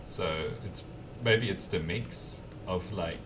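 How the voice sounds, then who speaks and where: A man's voice: low voice, slow pace, heavy background noise. A man from Germany with a mild German accent and an Australian twang, chatting easygoingly with viewers while he builds in a game. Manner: easygoing, chatty, answers viewer questions between tasks. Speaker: game streamer. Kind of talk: casual live-stream chat while building in a game. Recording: distant mic with keyboard typing behind.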